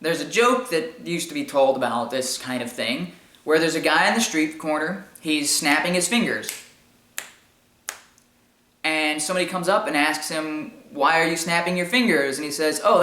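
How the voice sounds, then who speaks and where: High-pitched man with an echoey sound. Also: bright, forceful tone. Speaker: commentary show host. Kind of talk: informal opinion commentary.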